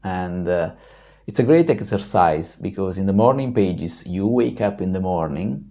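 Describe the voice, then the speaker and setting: A man with a deep baritone voice tells a personal story as a vlog host, reflective and full of pauses and fillers. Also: lively intonation, mellow.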